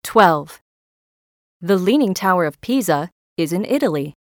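A woman with a slightly low voice reads short textbook sentences. She is slow and steady, with a dry, close recording. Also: dry recording. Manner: even, deliberate reading. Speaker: audio narrator.

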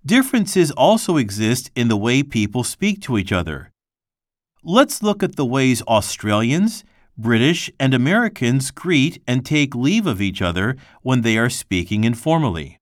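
A man's voice: medium pitch, sing-song, no room sound, studio quality. Man reading a textbook passage aloud. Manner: slow, careful reading with clear pauses. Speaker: textbook reader.

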